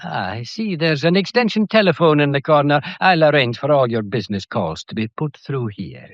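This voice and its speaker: An actor playing a genial but firm, shrewd Scottish police inspector in a radio drama, folksy, unhurried and authoritative. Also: male voice, a little high, sing-song, no echo, dull audio. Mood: polite, firm.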